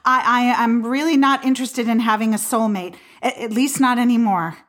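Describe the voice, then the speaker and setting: Casting: female actor as skeptical romantic cynic, somewhat high voice, flustered and conversational, for short comedic audio drama. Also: fairly even intonation, a bit bright, natural voice. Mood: skeptical, protesting. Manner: halting, protesting, conversational. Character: skeptical, flustered woman who rejects romantic destiny.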